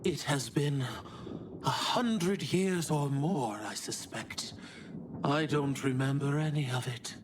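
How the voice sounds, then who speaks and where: An actor playing a powerful ancient witch roused from long sleep in a fantasy game, speaking haltingly and intensely. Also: medium pitch, close to an everyday voice. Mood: weary resolve. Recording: noisy ambience behind the voice. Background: game ambience noise.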